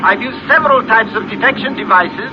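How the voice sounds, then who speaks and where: Eager high-voiced male professor, noisy recording. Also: a little fast. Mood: eager, excited. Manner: hesitant starts, eager and fussy. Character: excitable scientist professor, scholarly and eager. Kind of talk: 1950s radio drama acting. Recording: muffled, noisy old 1950s radio recording.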